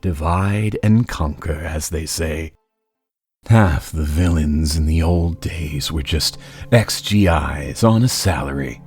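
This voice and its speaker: A man's voice: very deep voice, slow pace, darkish tone, husky, full character voice. A man voicing a gloating, menacing, talkative supervillain in a comic book dub, with a taunting, condescending drawl. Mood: sly, expository. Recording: faint music behind.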